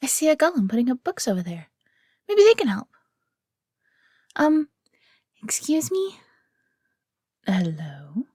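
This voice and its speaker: A woman's voice: a little high, big pitch swings, a little slow, pauses often, warm tone, husky, dry recording, clean studio sound, full character voice. A woman playing a friendly, nervous fox companion in a fantasy audio roleplay, speaking to the listener in a hesitant, chatty way.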